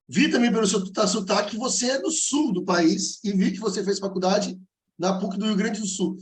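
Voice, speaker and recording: A man hosting a podcast in a joking, teasing way. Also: sits high. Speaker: podcast host. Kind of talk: casual podcast talk.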